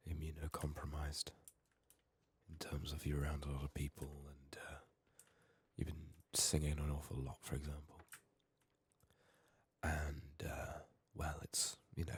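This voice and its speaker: Male ASMR game streamer, ill and hoarse: rock-bottom pitch, flat delivery, subdued breathy murmur with whispering and long pauses. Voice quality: husky, raspy, breathy. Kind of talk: soft-spoken whispered ASMR game playthrough.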